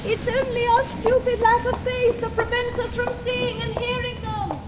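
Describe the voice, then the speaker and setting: A woman's voice: little pitch movement, normal speed. An actress playing a fussy, effusive spiritualist lady in a radio play. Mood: fervent. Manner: shrill, effusive. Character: fussy, gushing spiritualist lady, earnest about the dead. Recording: noisy old radio broadcast tape.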